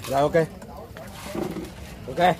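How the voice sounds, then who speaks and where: A man, filming a night fishing vlog outdoors at a pond and commenting on the spot in brisk, repeated short commands. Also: fairly high pitch, over a noisy crowd.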